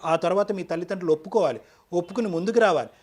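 A man's voice: high voice, very fast, darkish tone, slightly rough. A man counseling in a firm, didactic way as an advice show counselor, dropping English phrases into his speech. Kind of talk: advice-giving counseling talk.

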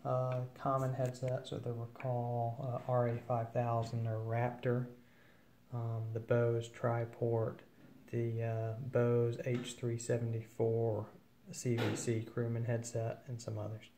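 A man giving an informal, matter-of-fact instructional walkthrough of equipment, with hesitant pauses. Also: mid-range voice, completely flat delivery, mellow, slight background noise. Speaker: presenter of an equipment demo. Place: indoors at a wooden table at home.

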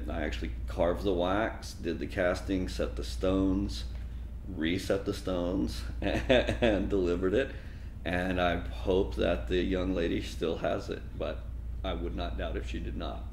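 A very deep-voiced man in his forties, originally from Texas, speaks in a relaxed, reflective way, as if telling his own story in an interview. He sits in a dim room, and his voice carries a noticeable roomy echo with a faint hiss of background noise beneath it. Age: 40s. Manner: relaxed, reflective storytelling with occasional soft laughs. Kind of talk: sit-down interview.

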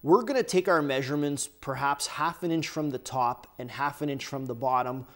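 A man's voice: mid-range voice, moderate pace. A man hosting a workshop how-to, casually explaining engine measurements while handling parts at his bench. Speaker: workshop host. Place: indoor workshop bench, overhead camera.

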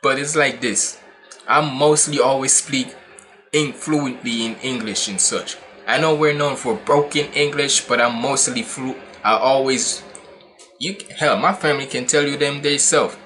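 A man's voice: mid-range voice, measured pace, a bit bright, soft background music. A man in his twenties from Jamaica with a Jamaican accent, giving casual, rambling, slangy commentary as a reaction video host in a room at home. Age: 20s. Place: small indoor room at home.

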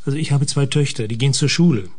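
Actor as a curt, dry government minister. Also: male voice, medium pitch, quick pace, a little mellow, lightly acted. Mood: defensive. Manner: curt, dry, self-assured. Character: brisk, dry male government minister, protective family man. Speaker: actor in a radio play. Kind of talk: German radio drama dialogue.

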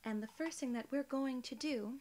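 A young woman playing a polite, clinical alien inspector in an ASMR role-play, soft-spoken, formal and soothing. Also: sits high, normal speed, close to an everyday voice. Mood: formal, calm. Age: young adult. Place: small indoor room, seated.

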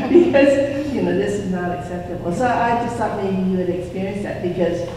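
A woman's voice: medium pitch, normal speed, a crowd in the background. A woman from the Philippines, raised in the United States, interviewing a guest and chatting about her own story with quoted remarks. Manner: chatty, telling a personal story with quoted remarks, laughs often. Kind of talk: casual interview talk. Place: museum exhibit hall, indoors. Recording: echoey hall, distant mic.

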